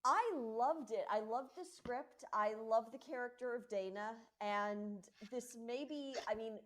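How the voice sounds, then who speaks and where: A woman's voice: a little high, unhurried, a bit bright. A woman who works as a voice actor, chatting casually about a performance. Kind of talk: casual cast chat.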